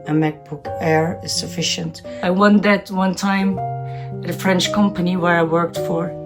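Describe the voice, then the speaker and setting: A woman in her forties from the Netherlands with a Dutch accent, giving a shy, halting, earnest tour of her home art studio. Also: on the low side. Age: 40s. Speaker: vlogger. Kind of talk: casual studio tour chat. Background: guitar music.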